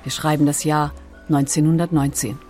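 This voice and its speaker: A woman's voice: low voice, level tone, normal speed, warm tone, soft background music. A woman reading scripted documentary narration as one of its narrators.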